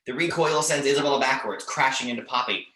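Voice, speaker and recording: A man's voice: medium pitch, fast talker, pushed and bright. A man reading action descriptions as narrator in an amateur screenplay table read.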